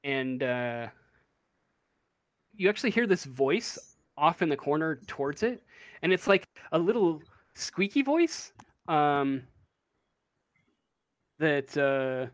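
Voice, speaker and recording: A man's voice: a little high, very animated, takes it slowly, pauses often, no room sound, clean studio sound. A man narrating a tabletop role-playing game as game master, improvising and searching for his words.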